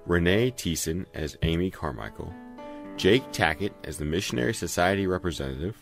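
A man reading scripted closing credits for an audio drama as its announcer. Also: deep voice.

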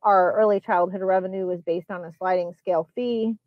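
A woman presenting a school budget at a public hearing, explaining line items and figures in a measured, explanatory way. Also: fairly low pitch, steady tone, dry recording, lo-fi sound. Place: public meeting.

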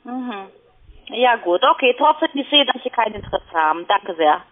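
Casting: woman telesales agent, non-native German speaker, very high pitch, hesitant phrasing, thin telephone sound. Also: animated, normal speed. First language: not German. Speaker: telemarketing call centre agent. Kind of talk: unscripted sales phone call. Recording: narrow tinny phone line.

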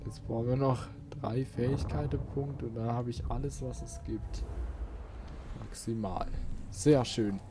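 A man's voice: medium pitch, fairly even intonation, a little slow, background noise. A man giving casual, grumbling let's play commentary as he plays a video game. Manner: grumbling, mild swearing at the game.

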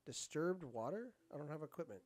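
A man's voice: fairly high pitch, animated, a little fast. A man co-hosting a game playthrough, joking casually and crudely and swearing often. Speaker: gaming video co-host. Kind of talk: casual joking let's play banter.

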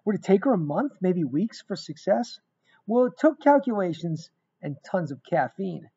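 A middle-aged man reading a rhyming picture book aloud in an expressive, emphatic storytelling manner. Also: high-pitched, normal speed, creaky, husky. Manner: emphatic, dramatic storytelling with repeated phrases. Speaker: guest reader of a children's book. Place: small indoor room. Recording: dull, lo-fi webcam sound.

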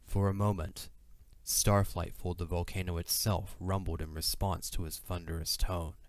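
Very deep-voiced male story narrator. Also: little pitch movement, moderate pace, dry recording. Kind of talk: scripted dramatic story narration. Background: music.

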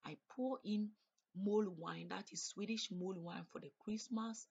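A woman's voice: medium pitch, moderate pace, gravelly, darkish tone, breathy edge, highs cut off. A woman chatting casually as she cooks in her home kitchen, explaining each step as she goes. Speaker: cooking vlogger. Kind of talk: casual cook-along narration.

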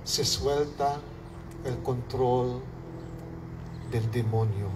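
A priest with a Filipino accent preaches very slowly and deliberately, his phrases sinking at their ends. His voice comes from some distance off the mic at an outdoor altar under a canopy, with a faint hiss of open air behind it. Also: mid-range voice, fairly even intonation. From Philippines. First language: Hiligaynon. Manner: slow, measured preaching with falling phrase ends. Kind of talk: homily. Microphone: far camera, mic at lectern. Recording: distant outdoor recording with faint background noise.

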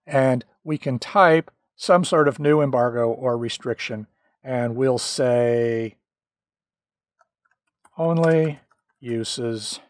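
A middle-aged man talking through a software tutorial, with relaxed explanations and dry little jokes. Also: mid-range voice, no room sound. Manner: relaxed, dryly joking explanations. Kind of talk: screen-recorded software tutorial.

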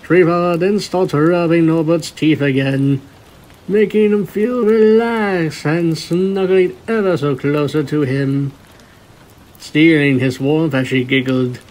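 A man's voice: fairly high pitch, slow pace, darkish tone, low-quality recording. A man reading a scripted story aloud as its narrator. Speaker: narrator of a fan fiction reading. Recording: music bed behind the voice.

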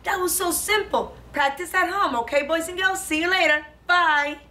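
A woman, perhaps in her thirties, a preschool teacher talking to small children in an animated, simple way with lots of questions. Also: very high voice, normal speed, very noisy. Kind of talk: children's lesson and read-aloud. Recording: roomy, echoing, distant microphone, faint music.

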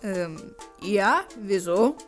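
A woman's voice: medium pitch, animated, drawn-out words, soft background music. A woman playing a curious, agreeable police officer in an amateur audio play.

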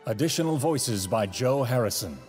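A man's voice: mid-range voice, animated, normal speed, darkish tone. A man reading scripted narration and credits as the announcer of an audio drama, in a dramatic style. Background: orchestral film music.

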